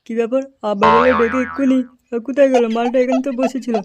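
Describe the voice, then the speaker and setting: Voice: mid-range voice, flat delivery, racing, lo-fi sound, over music, lightly acted. A performer voicing a foul-mouthed, hot-tempered gang boss who barks orders in a comedy cartoon dub. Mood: impatient, angry. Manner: brash, swearing, barking orders.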